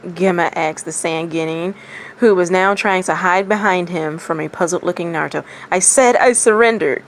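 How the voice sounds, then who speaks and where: A woman reading fan fiction aloud as expressive story narration. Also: lowish voice, moderate pace, slight background noise.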